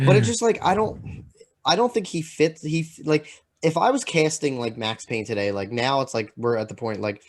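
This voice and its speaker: A man co-hosting a podcast in casual, opinionated chat, halting and repeating himself with lots of filler. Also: mid-range voice. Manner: halting, repetitive, peppered with "like". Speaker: podcast co-host.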